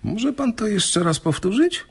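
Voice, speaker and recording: A man's voice: high-pitched, big pitch swings, measured pace, breathy edge, full character voice. An actor playing a smug, needling male journalist in a radio drama, with a mocking, insinuating manner. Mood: sceptical, tempted. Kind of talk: Polish radio drama acting.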